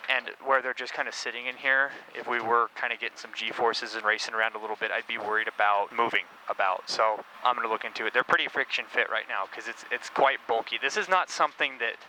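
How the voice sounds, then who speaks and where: Bright-voiced man vlogging over car noise. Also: medium pitch, quick pace, few pauses, rough recording. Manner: informal, explanatory. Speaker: host of a car-build video channel. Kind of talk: casual product-review vlog talk. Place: inside a loud race car cabin. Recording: steady vehicle noise behind voice.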